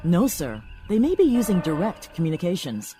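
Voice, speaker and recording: A woman's voice: fairly low pitch, huge pitch swings, moderate pace, mellow, faint music behind. A woman playing an efficient, dutiful rabbit communications officer in a sci-fi audio drama, answering with military deference.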